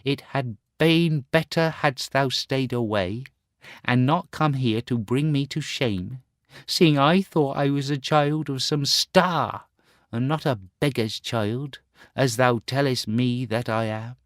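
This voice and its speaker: A man voicing a proud, cruel boy who later turns remorseful, crying out in a high, strained voice. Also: slow, dry recording, studio quality, natural voice. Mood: scornful.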